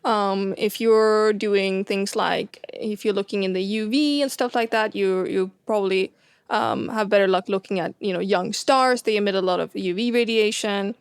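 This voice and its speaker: A woman's voice: medium pitch, fairly even intonation, moderate pace, clean tone, no room sound, studio quality. A woman with a Swedish accent, co-hosting a casual science chat as an astronomer, enthusiastic and full of fillers and restarts. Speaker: co-host, astronomer.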